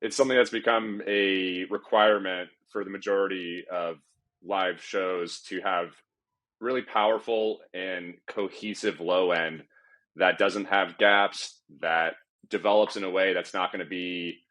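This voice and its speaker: A man speaking as a panel guest on live sound, explaining off the cuff with halting, repeated phrasing. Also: deep voice, steady tone, fairly bright tone. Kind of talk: panel discussion, unscripted explanation.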